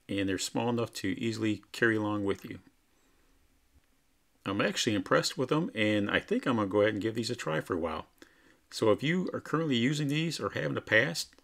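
A man's voice: lowish voice, creaky, fairly bright tone. A man narrating a plain, matter-of-fact home test of laundry detergents as a product reviewer.

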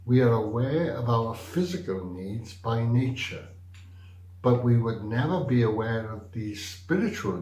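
An older man giving a daily devotional talk, preaching slowly and carefully with frequent pauses and fillers. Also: medium pitch, a little mellow. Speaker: church devotional speaker. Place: small indoor room, plain wall. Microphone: webcam or camera facing him at desk. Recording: roomy echo.

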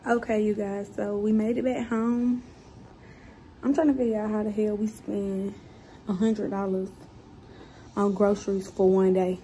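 A woman's voice: medium pitch, flat delivery, unhurried, pauses often, warm tone. A young woman vlogging casually from a phone held at arm's length, blunt and teasing in her talk. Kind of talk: casual vlog chat. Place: grocery store, then home. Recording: noisy phone recording.

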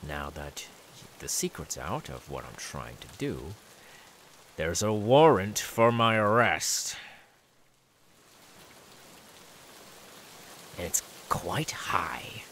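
A man plays a menacing killer in an ASMR roleplay, speaking very slowly in a low voice that swings dramatically up and down. He taunts with simmering anger, and a faint hiss like rain sits behind him. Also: very animated, fairly bright tone, exaggerated voice. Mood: brooding menace. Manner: slow, taunting, drawn-out repeated words.

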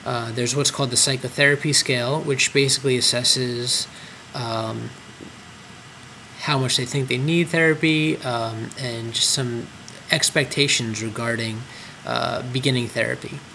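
Male trainer, mid-pitched voice, relaxed explanatory screen tutorial, faint hum. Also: measured pace, vocal fry, low-quality recording. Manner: explanatory, frequent ums and uhs. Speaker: clinic trainer. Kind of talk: screen-recorded software tutorial.

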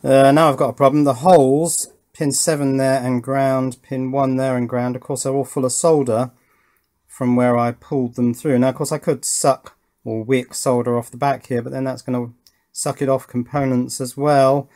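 A man's voice: mid-range voice, level tone, moderate pace, a little mellow. Man casually talking through hands-on work. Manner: thinking aloud while working, with tag questions. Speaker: host of an electronics build channel. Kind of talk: casual hands-on demonstration commentary. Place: indoors at a wooden workbench.

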